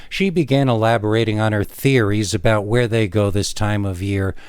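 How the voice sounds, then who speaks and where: A man reading a scary story in the first person as a dramatic narrator, with theatrical, emphatic delivery and deliberate pauses. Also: fairly low pitch, a little mellow, smooth, no echo.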